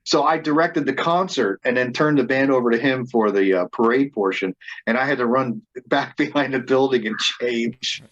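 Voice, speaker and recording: A man's voice: mid-range voice, moderate pace, fairly bright tone. Rambling man with a dull-sounding mic. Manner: rambling, frequent restarts and repeated words. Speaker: band leader and reenactor being interviewed. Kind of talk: casual interview storytelling.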